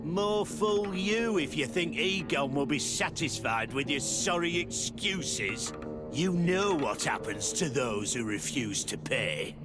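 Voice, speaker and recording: An actor playing a bullying, mocking guard thug who extorts people in a video game. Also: male voice, a little high, animated, slow pace, over music. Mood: mocking threat. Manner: mocking, taunting, threatening.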